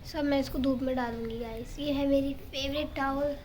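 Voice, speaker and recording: A young woman with a high voice that has gone hoarse from a sore throat talks to her followers. She is chatty and relaxed, filming at home. Faint ambient noise sits under her voice. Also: moderate pace. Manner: chatty, talks straight to viewers. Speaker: family vlogger. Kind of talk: casual home vlog to camera.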